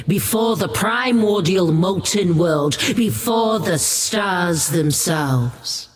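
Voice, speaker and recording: A solemn lore-keeper from a fantasy game, with a somewhat high male voice. The delivery is slow, reverent and deliberate, and long reverb trails each phrase as in a great cavern. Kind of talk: solemn scripted lore narration.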